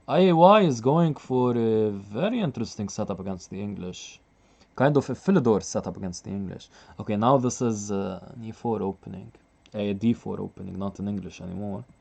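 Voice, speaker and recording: Gravelly low-voiced man commentating chess. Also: a little fast, darkish tone. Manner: casual, chuckles often, reacts to chat.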